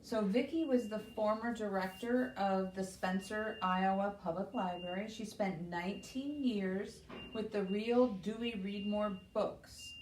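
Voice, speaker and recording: A woman's voice: medium pitch, fairly even intonation, a little slow, darkish tone. A woman hosts story time for young children, reading and explaining in simple terms with questions for her listeners. Her voice is picked up from a little distance in an echoey room, with faint noise behind it.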